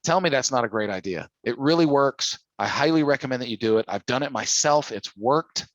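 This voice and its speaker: A man's voice: mid-range voice, lively intonation, a little fast, no echo, lo-fi sound. A man hosting an informal sales training call, talking persuasively and using plenty of rhetorical questions. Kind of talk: informal sales coaching talk.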